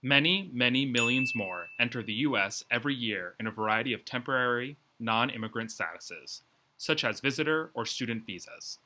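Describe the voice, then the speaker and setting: A man presenting an educational video in a scripted, measured, explanatory way. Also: mid-range voice, expressive, pushed and bright, a little hiss or hum. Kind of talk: scripted informational narration.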